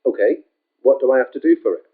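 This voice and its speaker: A man's voice: mid-range voice, animated, fast talker, close to an everyday voice. A man voicing an ordinary, impatient adult man in a story he is reading, speaking plainly and offhandedly. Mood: skeptical. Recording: tinny phone-like sound.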